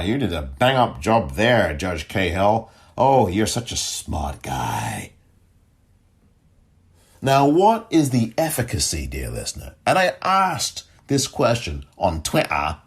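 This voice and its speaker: Husky, indignant man podcasting. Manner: animated, sarcastic, jumps to high pitch when indignant. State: voice cracking from excitement. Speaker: podcast host. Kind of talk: solo commentary podcast.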